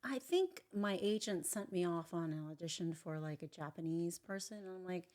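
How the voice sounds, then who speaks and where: A woman actor in casual chat, talking hesitantly and trailing off softly at the ends of her thoughts. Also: lowish voice, lively intonation, brisk. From Filipino.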